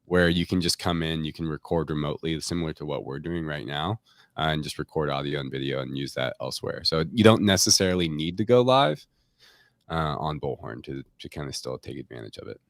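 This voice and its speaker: A man with a deep voice talks casually as a guest explaining his company's product. His phrasing is informal, padded with loose hedging phrases. The sound is dry, with very little room in it. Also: lively intonation, brisk, vocal fry, dry recording. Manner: informal, hedging with frequent "like" and "kind of". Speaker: tech company representative, podcast guest. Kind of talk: casual product talk in an interview. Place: remote recording on a live stream call.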